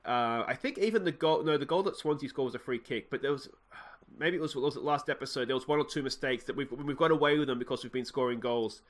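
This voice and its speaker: A man's voice: mid-range voice, fast. Nasal Australian man commentating a game. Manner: rambling, self-correcting, excitable at goals. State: slightly nasal from a cold. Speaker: let's play host. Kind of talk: casual gameplay commentary.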